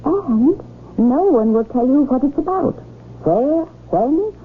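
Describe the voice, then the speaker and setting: An actress playing a sharp-tongued, suspicious young English wife in a radio drama, speaking in a wry, pointed way. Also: female voice, high voice, lively intonation, slow pace. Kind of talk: old-time radio drama acting. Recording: tinny old radio broadcast, faint noise.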